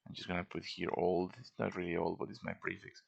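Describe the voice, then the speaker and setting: A man's voice: low-pitched, little pitch movement, fast talker, gravelly, dull audio. A man giving a casual show-and-tell tutorial as a game modder, informal and thinking aloud as he works.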